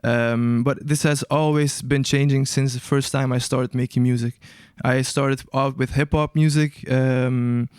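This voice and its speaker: Smooth-voiced young man, hesitant and searching. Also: medium pitch, fairly even intonation, a little slow, few pauses, darkish tone, no echo, clean studio sound. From Albania. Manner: hesitant, searching for words, many restarts. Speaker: guest musician. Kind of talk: casual interview answers.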